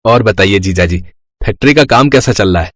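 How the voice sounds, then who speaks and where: An actor playing a mild, hesitant, accommodating middle-class husband and younger brother in a scripted moral story. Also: male voice, lowish voice, animated, fairly quick, fairly bright tone, a little hiss or hum.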